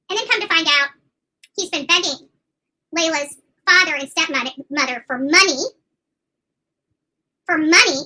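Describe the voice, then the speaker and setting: A woman's voice: very high voice, noisy background. A woman host delivering a casual commentary rant, indignant and emphatic, repeating phrases to drive points home. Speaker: YouTube channel host covering missing persons cases.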